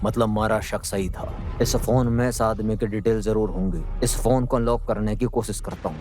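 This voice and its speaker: A man's voice: lowish voice, fairly even intonation, normal speed. A man with a Haryanvi accent narrating a scripted audio drama in a dramatic storytelling style. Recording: music under the voice. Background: background music.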